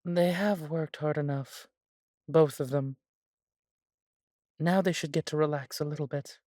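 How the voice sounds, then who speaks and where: An unhurried man playing an elf brother from a fantasy audio drama, recorded dry and close. He is warm and humble, devoted to his family, and phrases things a little formally with thoughtful pauses. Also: fairly high pitch, steady tone, no echo, close to an everyday voice. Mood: easygoing. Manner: measured, slightly formal phrasing with sighs and pauses. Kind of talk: scripted fantasy audio roleplay.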